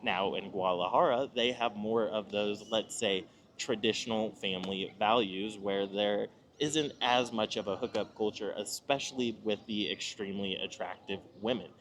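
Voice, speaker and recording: An American man from Nebraska hosting an informal advice monologue, talking in a measured, explanatory way with emphatic pauses. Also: on the low side, a bit bright. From Nebraska, United States. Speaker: video host giving travel advice.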